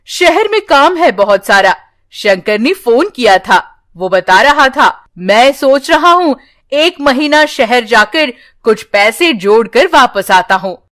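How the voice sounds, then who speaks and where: A woman storyteller plays a caring village farmer in a moral tale. The delivery is slow, gentle and matter-of-fact, in a high register. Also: very animated, full character voice. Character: calm village farmer husband, caring father.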